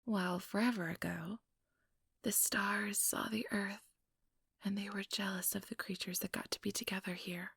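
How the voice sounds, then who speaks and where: Girl acting as ambitious vampire lady, super breathy whisper w a bit of creak, condescending n persuasive, asmr roleplay right up on the mic. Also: mid-range voice, steady tone, moderate pace, a bit bright, dry recording, clean studio sound, full character voice. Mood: measured storytelling. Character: ambitious, smugly manipulative female vampire recruiter. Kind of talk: ASMR role-play, whispered and close-up. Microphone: close ASMR microphone.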